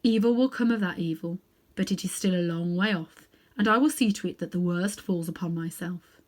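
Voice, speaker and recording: Woman doing a grave kind lion, slow and commanding, storybook style. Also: lowish voice, a little mellow, close to an everyday voice. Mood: solemn. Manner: solemn, measured, commanding.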